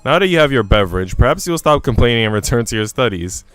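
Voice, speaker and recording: A man's voice: medium pitch, very animated, quick pace, fairly bright tone, smooth, no echo, faint music behind. A man voicing a stern governess in her thirties from a visual novel, prim, severe and reproving. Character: stern thirty-three-year-old governess, female, strict and reproving.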